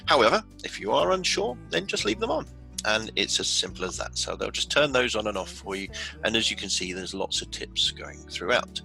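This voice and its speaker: A man with a slightly low but bright, forceful voice leads a software tutorial. He explains each step aloud in an informal, conversational way, as if guiding someone through a screen. Faint music sits behind his voice. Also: very animated, fairly quick, gravelly, rough recording. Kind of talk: informal instructional screen walkthrough.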